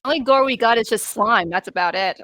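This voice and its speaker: A woman's voice: a little high, fairly quick, a bit bright, no room sound. A woman from a voice cast chatting casually in a watch-along commentary.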